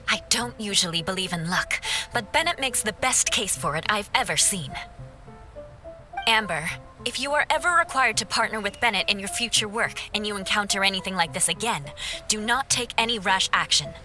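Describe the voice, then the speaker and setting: Bright, crisp-mannered lady knight. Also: mid-range voice, lively intonation, faint music behind. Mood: firm, protective. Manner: formal, precise, measured wording. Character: composed, formal young woman knight, stern but protective. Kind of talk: anime video game voice acting.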